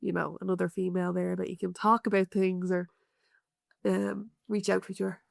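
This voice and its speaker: A woman's voice: fairly low pitch, flat delivery, moderate pace, gravelly, no echo, clean studio sound. A young woman with an Irish accent, a guest stonemason talking casually and laughing easily, full of fillers. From Ireland. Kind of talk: casual interview chat. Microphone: remote call.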